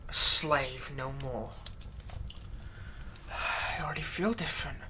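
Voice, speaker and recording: Man playing a vengeful vampire-to-be thrall, bright sharp voice, threatening, theatrical, breathy whispered drops, audio roleplay, some background noise. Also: medium pitch, takes it slowly. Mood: exultant, smug. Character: vengeful male thrall slave turning himself into a vampire. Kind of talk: roleplay audio acting, close ASMR monologue.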